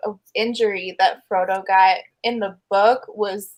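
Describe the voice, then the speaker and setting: Woman, middle-pitched voice, earnest, opinionated, informal group discussion. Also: steady tone, a little slow. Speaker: guest panelist. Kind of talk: casual book discussion.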